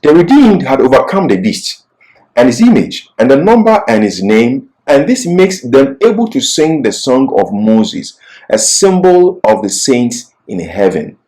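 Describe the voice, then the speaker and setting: A man's voice: mid-range voice, sing-song, unhurried, mellow, low-quality recording. A man giving an expository Bible lecture as a teacher, didactic and emphatic, posing questions and answering them himself.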